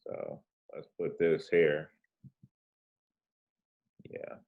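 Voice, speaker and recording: A man with a very deep, low voice and a soothing tone talks through charts in a relaxed, slangy way. He drifts into rambling asides as he goes, easygoing and conversational. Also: very slow, phone-quality sound. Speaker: forex trading host. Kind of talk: casual chart walkthrough.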